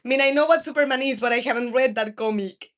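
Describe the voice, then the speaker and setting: A woman in her thirties speaks in a somewhat high-pitched voice with a Mexican accent. A native Spanish speaker, she hosts a video game livestream. She talks casually, answering her viewers as she goes. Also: quick pace, fairly bright tone. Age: 30s. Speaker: video game live streamer.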